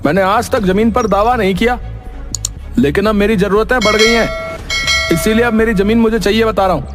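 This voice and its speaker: A man playing a greedy, self-righteous brother-in-law insisting on his claim in an animated moral story. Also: sits high, brisk, over music, lightly acted. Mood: aggrieved, demanding.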